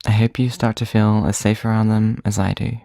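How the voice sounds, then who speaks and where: An actor playing a gentle, vulnerable person speaking affectionately in an intimate audio drama monologue, tender and sincere. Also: mid-range voice, level tone, a little fast, gravelly, no room sound, studio quality, close to an everyday voice. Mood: tender, grateful. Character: gentle, vulnerable bar resident leaving an affectionate voicemail.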